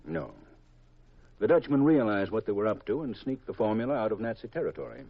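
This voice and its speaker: An actor playing a grave, secretive government intelligence chief in a 1950s radio drama, deliberate and confidential on a vintage recording. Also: male voice, lowish voice, no room sound, lo-fi sound. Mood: grave, confiding.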